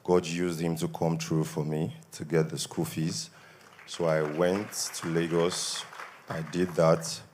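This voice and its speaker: A young Nigerian man with a Nigerian accent gives an earnest testimony at a church service, his voice a little worn from shouting. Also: low-pitched, little pitch movement, slow pace, noisy background. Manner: earnest, grows more emphatic. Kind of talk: spoken testimony.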